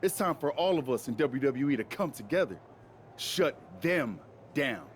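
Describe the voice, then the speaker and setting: A man's voice: medium pitch, expressive, normal speed, slight background noise, close to an everyday voice. A man playing a defiant, loyal tag-team wrestler in a video game, talking in slangy, emphatic short phrases. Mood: rousing, defiant. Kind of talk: wrestling promo and video game cutscene acting.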